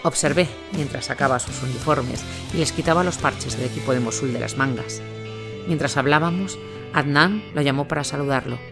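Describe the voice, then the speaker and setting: Man, mid-pitched voice, scripted reportage narration, clear musical backing. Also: normal speed. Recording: music bed under voice.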